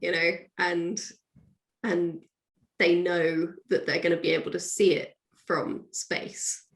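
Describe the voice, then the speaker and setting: A woman chatting casually as a writer guest in an interview, telling things in an animated, chatty way with quoted asides. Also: fairly low pitch, takes it slowly. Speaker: guest, audio drama writer.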